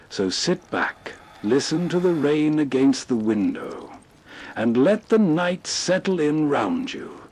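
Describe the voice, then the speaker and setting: A man's voice: fairly high pitch, darkish tone, breathy edge. A man hosting a nostalgic radio program with scripted, atmospheric narration.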